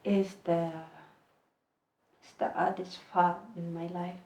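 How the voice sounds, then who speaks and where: A woman in her twenties from Kenya, speaking English as a second language, telling her personal story as a podcast guest in a soft, halting voice. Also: lowish voice, level tone. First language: a language other than English. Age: 20s. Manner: soft-spoken, halting, plain wording. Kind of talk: personal testimony in conversation.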